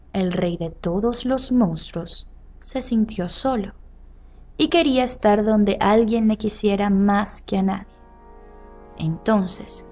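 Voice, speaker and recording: A woman narrating a scripted children's story with dramatic pauses and drawn-out phrases. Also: medium pitch, dark tone. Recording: faint background noise.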